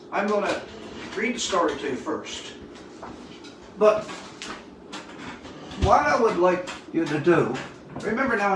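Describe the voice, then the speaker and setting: A man of about seventy from Newfoundland, a writer talking and telling stories to viewers on an informal livestream. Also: high voice. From Newfoundland, Canada. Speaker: writer reading his work to viewers. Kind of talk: informal livestream talk and storytelling. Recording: distant mic, roomy echo, audible noise.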